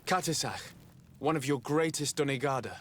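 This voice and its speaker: An actor playing a composed, diplomatic envoy in a video game, formal, measured and earnest. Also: male voice, medium pitch, a bit bright, a little hiss or hum, lightly acted. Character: composed, diplomatic young male envoy seeking his lost cousin. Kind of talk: video game cutscene acting.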